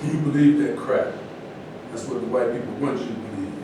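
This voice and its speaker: A man's voice: fairly quick, natural voice. Guy reading the part of a proud combative champ boxer, defiant, stage reading, super echoey and distant. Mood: argumentative. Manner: combative, emphatic. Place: indoor room at a staged reading. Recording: echoey room, distant mic, noisy rumble.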